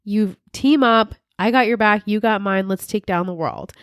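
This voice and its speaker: A woman's voice: mid-range voice, moderate pace, smooth, no room sound, studio quality. A woman co-hosting a podcast, chatting casually while recapping a TV show, her voice worn and congested from a sinus infection, acting out characters' lines as she goes. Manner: animated, acts out characters' lines in quotes. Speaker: podcast co-host.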